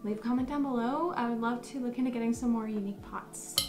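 A woman's voice: fairly high pitch, fast talker, a little mellow, roomy sound. A young woman in her twenties chatting casually about her houseplants, gushing and laughing often, recorded at home. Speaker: plant vlogger. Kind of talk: casual vlog chat. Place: bedroom with houseplants, indoors. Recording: background music under voice.

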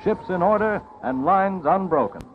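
A man's voice: sits high, lively intonation, moderate pace, a little hiss or hum. 1940s wartime newsreel man, dull old soundtrack. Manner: dramatic, solemn delivery. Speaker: documentary narrator.

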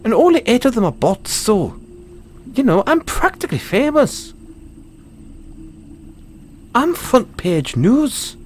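A man's voice: very high-pitched, sing-song, takes it slowly, pauses often, slight background noise, heavily acted. A man with a British accent playing a cocky, foul-mouthed, stammering Dullahan social media wannabe in a comedic audio roleplay. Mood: boastful. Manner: stammering, sweary, boastful.

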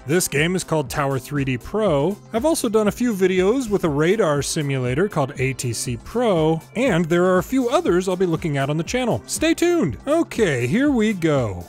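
A man with a slightly high voice plays tower controller in a simulation game. He moves between clipped, procedural radio phraseology and casual commentary to viewers. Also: big pitch swings, normal speed, soft background music. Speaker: gaming channel host playing an air traffic controller.

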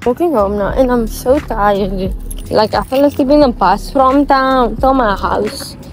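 A woman's voice: a little high, measured pace, music in the background, poor audio. A young woman chatting casually to her vlog as a student, in a slangy, informal way with swearing. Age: young adult. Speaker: student vlogger.

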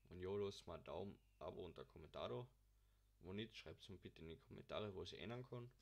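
A man's voice: low-pitched, fast, gravelly. A young man, casually commenting on a farming game while mumbling to himself, and he has a cold. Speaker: gaming channel host.

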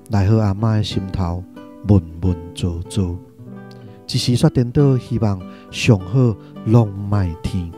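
A man's voice: medium pitch, mellow. A man with a Taiwanese accent narrating a literary radio drama as a scripted storyteller. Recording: music behind the narration.

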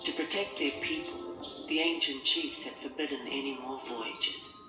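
A man narrating a scripted children's story in a measured, expressive storytelling manner. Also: mid-range voice. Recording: roomy, distant, music behind.

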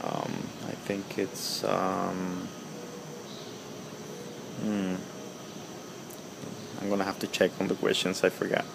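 A man in his thirties from El Salvador talks slowly into a close webcam, his voice sitting on the low side. He pauses often, filling the gaps with ums and uhs. An air conditioner hum and outdoor noise stay clearly audible behind him. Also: completely flat delivery. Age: 30s. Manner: halting, full of ums and uhs. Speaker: pipe-smoking video blogger. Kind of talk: casual video reply. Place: outdoors beside a house. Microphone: webcam at close range.

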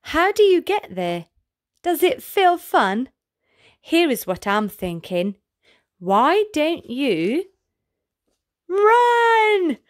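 A woman with a high voice reads a picture book for little children. Her pitch swoops up and down in an exaggerated, sing-song way. She takes her time, at a slow storytelling pace. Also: big pitch swings. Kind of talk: expressive read-aloud of a picture book.

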